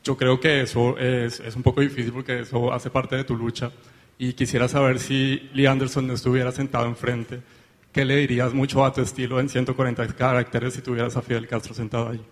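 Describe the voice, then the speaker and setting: A man's voice: medium pitch, little pitch movement, brisk. A man in the audience politely asking a somewhat hesitant question. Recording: long hall reverb.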